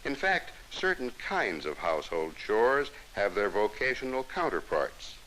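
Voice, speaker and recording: An old, faintly hissy early-1960s film soundtrack carries an American man's narration. His voice is crisp and forward, with lively rises and falls. He reads formally and instructively, like the scripted educational films of that time. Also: fairly low pitch, unhurried, rough recording.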